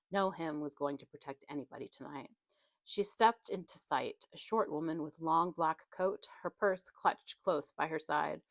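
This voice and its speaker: A woman's voice: sits low, dry recording. A woman reading a horror novel aloud as an audiobook narrator, telling the story in a dramatic scripted style.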